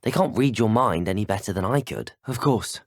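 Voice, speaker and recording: A man's voice: on the low side, animated, brisk, no room sound, studio quality. A man voicing an earnest, polite young student wizard in a video game, with a British accent and courteous, articulate delivery. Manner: courteous, articulate, formal phrasing. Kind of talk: scripted video game dialogue, isolated voice clips.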